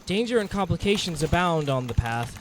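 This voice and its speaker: A man's voice: on the high side, expressive, a little fast, noisy background. A man narrating a monster-hunting game in the first person, in a dramatic storytelling manner, as a scripted adventure. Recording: music behind the voice.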